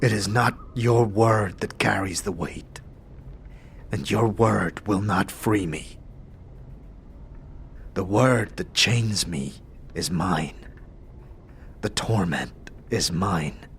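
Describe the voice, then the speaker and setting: A man voicing a weary, solemn warrior enslaved by his oath, speaking in grave, formal, halting phrases for an audio drama. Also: low-pitched, vocal fry, fairly bright tone, a little hiss or hum, full character voice. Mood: pained, grave.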